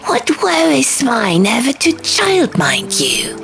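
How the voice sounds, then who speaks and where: A woman's voice: high-pitched, huge pitch swings, slow pace, vocal fry, voice pushed up, exaggerated voice. A woman voicing a fussy, childlike male gargoyle with pompous, archaic, theatrical phrasing in a storybook audiobook. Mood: huffy, dramatic. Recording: music behind.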